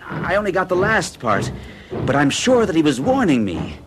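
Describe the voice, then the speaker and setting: A man's voice: normal speed, background noise. An actor in his forties playing a nervous accountant of fixed habits, who recounts a strange experience in an earnest, uneasy way. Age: 40s. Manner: earnest, uneasy storytelling with a lively pitch. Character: nervous middle-aged male accountant of rigid habits. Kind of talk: 1970s radio drama acting.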